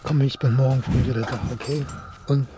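An actor playing a worn-out, tender village farmer with a Berlin-Brandenburg accent, speaking haltingly and colloquially in a radio play. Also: male voice, medium pitch, fairly even intonation, noisy background, natural voice. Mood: troubled, tender. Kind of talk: naturalistic radio play acting.

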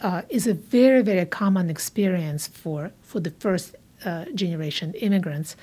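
A woman's voice: fairly low pitch, normal speed, dark tone. A woman speaking spontaneously as an expert in an interview.

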